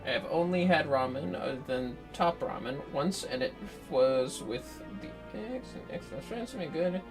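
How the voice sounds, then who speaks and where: A man's voice: on the high side, expressive, normal speed. A man chatting casually on a gaming livestream, sweary and playfully theatrical, with music behind him. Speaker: livestreaming gamer.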